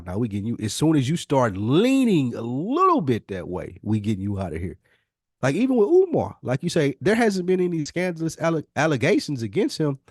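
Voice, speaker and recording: A man speaks in an opinionated, conversational way on a podcast. His mid-pitched voice is emphatic and slangy, with some profanity, and he repeats phrases to press his point. The sound is dry, with little room to it. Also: a little mellow, no room sound.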